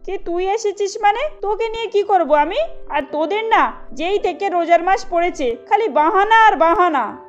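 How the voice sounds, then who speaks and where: A woman's voice: very high-pitched, expressive. A woman doing a bossy, scolding rich lady. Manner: scolding, brisk. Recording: dull muffled sound with music.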